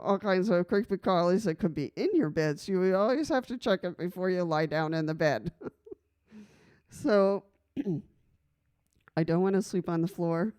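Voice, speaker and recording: A woman's voice: fairly low pitch, a little mellow, smooth. A middle-aged woman from Costa Rica talking casually to camera in a personal vlog, chatty and rambling, with many fillers and frequent laughs. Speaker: vlogger.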